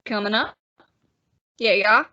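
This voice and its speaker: A woman's voice: medium pitch, takes it slowly, bright, forceful tone, dry recording, muffled recording. A woman playing a cocky, belligerent male barroom tough with a sarcastic, needling manner in an old-time radio detective drama. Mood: mocking.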